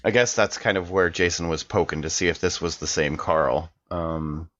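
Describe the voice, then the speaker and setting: A man's voice: sits low, steady tone, moderate pace, fairly bright tone, lo-fi sound. A man playing a tabletop game, chatting casually and improvising as his character. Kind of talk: casual improvised role-play chat.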